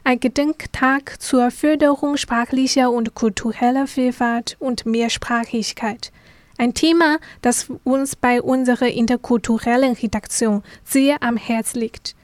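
A woman's voice: on the high side, steady tone, a little slow, dry recording. A woman hosting a magazine programme, presenting from a script and putting questions to guests. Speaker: radio magazine host.

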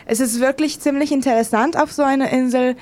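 A woman's voice: high-pitched, fairly even intonation, brisk, a little mellow. A woman giving an unscripted, enthusiastic account as an interview guest, searching for words with slightly irregular grammar. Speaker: interviewed contributor.

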